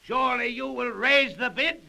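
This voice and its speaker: An actor playing a grandiose, goading Roman Praetorian officer running an auction in declamatory 1930s radio drama style. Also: male voice, very high-pitched, moderate pace, creaky, sharp tone, exaggerated voice. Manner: declamatory, theatrical oratory. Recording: old 1930s radio recording.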